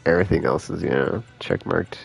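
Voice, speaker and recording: A man with a low-pitched voice full of heavy vocal fry chats casually on a live game stream. He thinks aloud in loose, slangy phrases, his intonation swinging up and down, with music playing behind him. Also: normal speed. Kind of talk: casual rambling stream commentary.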